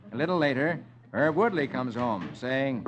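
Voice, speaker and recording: A man's voice: medium pitch, normal speed. A man hosting a 1950s children's radio show, telling stories from the funny pages in a theatrical way. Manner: animated, theatrical. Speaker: host reading the funny pages. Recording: muffled 1950s radio recording, music behind.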